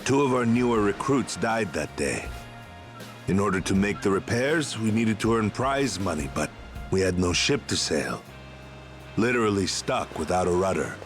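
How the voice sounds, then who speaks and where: An actor playing an earnest, loyal veteran pirate crewman in a video game, explaining things like a storyteller. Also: on the low side.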